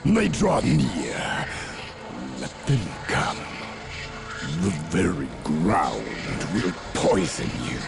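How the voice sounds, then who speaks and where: A man playing a menacing, fanatical chaos warlord in a video game cutscene, theatrical and slow, swinging between shouts and hisses. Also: deep voice, huge pitch swings, creaky, heavily acted. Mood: menacing, gloating. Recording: loud music under the voice.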